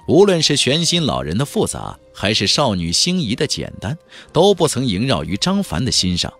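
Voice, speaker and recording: A man narrating a fantasy audiobook as a dramatic, expressive storyteller. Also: medium pitch, normal speed, soft background music.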